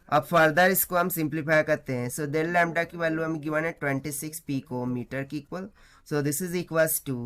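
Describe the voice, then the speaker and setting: A man's voice: fairly high pitch, fairly bright tone. A man with an Indian accent working through a physics problem step by step as a tutor, explaining as he mixes Hindi and English.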